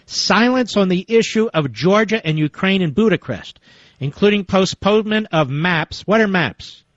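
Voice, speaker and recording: A man's voice: sits high, lively intonation, moderate pace, no echo. A man hosting a talk radio show, reading quotes aloud with sarcastic asides and interviewing a guest. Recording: dull lo-fi radio audio.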